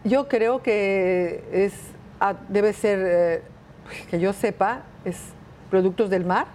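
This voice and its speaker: A woman from Mexico, a tour company owner, answering interview questions in a casual way, pausing to think with fillers. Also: medium pitch, darkish tone, slight background noise. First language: Spanish. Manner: thinks aloud with pauses and fillers.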